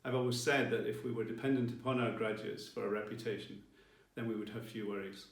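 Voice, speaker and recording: A man's voice: on the low side, level tone, quick pace, vocal fry. Roomy, distant man giving formal speech. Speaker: college leader addressing graduates. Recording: roomy, distant mic, faint music behind.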